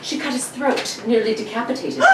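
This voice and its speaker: A woman's voice: high-pitched, huge pitch swings, normal speed, bright, forceful tone, full character voice. A woman playing an unnerved, sleepless, eerily intense man in a 1940s-style suspense radio drama, theatrical and brooding. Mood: morbid. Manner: theatrical, brooding, nervous laughter. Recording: roomy, distant, faint background noise.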